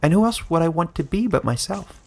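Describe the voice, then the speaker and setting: A man voicing a cheerful small furry male forest creature, gentle and wise, who chatters in a lively way. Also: on the high side, fairly quick, creaky, pitched above the performer's own voice. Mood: puzzled.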